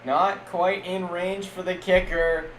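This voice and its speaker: A man's voice: high-pitched, little pitch movement, takes it slowly. Young man calling game plays on stream. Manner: casual, jokey play-by-play that spikes loud on big plays. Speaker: streamer doing play-by-play of a simulated football league. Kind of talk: live-stream game commentary. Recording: echoey room with faint background noise.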